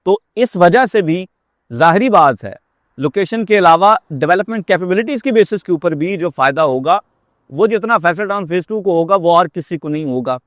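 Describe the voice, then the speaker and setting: A man's voice: a little high, dry recording, clean studio sound. A man with a Pakistani accent giving a persuasive market update as a real estate marketer, explaining emphatically and dropping in English phrases.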